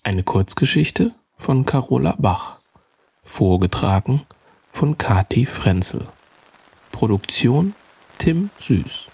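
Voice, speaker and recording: A man reads out a scripted announcement of titles and credits. His voice is husky and he takes his time. The sound is close and dry, with little room in it. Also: mid-range voice, sing-song, takes it slowly, darkish tone, dry recording.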